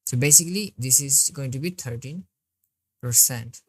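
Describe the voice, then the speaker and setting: A woman narrating a software tutorial step by step, describing each click as she goes. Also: rumbling low, moderate pace, slightly rough, no room sound. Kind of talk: screen-recorded tutorial walkthrough.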